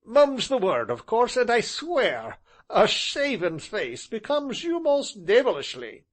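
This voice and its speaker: A man voicing a tall, jovial gentleman who gushes and laughs at his own joke in a high voice. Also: wildly swooping pitch, slow, full character voice. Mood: gleeful. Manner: mocking, laughing, gushing.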